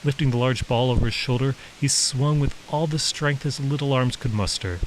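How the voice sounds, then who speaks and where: A man narrates a story with a measured, descriptive delivery. His voice sits at an average male pitch. A slight hiss runs under the recording. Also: low-quality recording. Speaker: author reading own work. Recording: hissy background noise.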